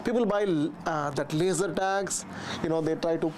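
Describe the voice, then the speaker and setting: A woman resident speaking casually and haltingly in an unscripted news interview. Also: on the low side, darkish tone, slight background noise. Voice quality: halting, searching for words.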